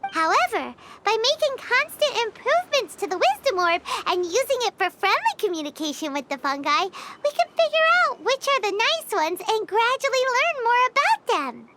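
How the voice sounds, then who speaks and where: A woman voicing a small, childlike fairy companion in a video game, squeaky, chirpy and earnest. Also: very animated, normal speed, hardly any pauses, very noisy. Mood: explanatory. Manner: earnest, refers to self in third person.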